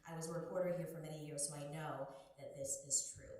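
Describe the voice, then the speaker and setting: A woman's voice: low voice, fairly even intonation, fast. A woman making a public address as she introduces speakers at an event. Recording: very echoey, distant mic, background noise.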